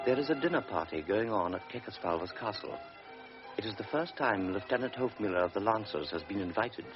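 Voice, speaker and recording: A man narrating a literary radio drama in a deliberate, solemn manner. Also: fairly low pitch, animated, lightly acted. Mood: measured. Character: measured storyteller of a pre-war tale. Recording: dull vintage radio recording with music.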